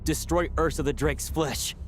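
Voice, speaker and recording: A man's voice: a little high, fairly even intonation, moderate pace, natural voice. A man voicing a grave, defeated man in an anime video game, speaking with solemn pleading. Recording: music behind the voice.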